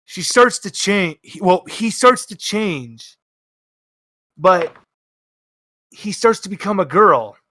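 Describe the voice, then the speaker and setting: A man narrating a tabletop roleplaying game as game master, casual, excitable and theatrical. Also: sits high, takes it slowly, pauses often, no echo, studio quality.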